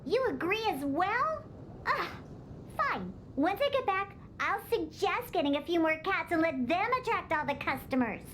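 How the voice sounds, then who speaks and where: A woman's voice: very high-pitched, big pitch swings, normal speed, fairly bright tone, a little hiss or hum, heavily acted. An actress playing a feisty young cat-girl bartender in a video game, with a cutesy, bossy, indignant manner. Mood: grumbling. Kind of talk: video game character acting.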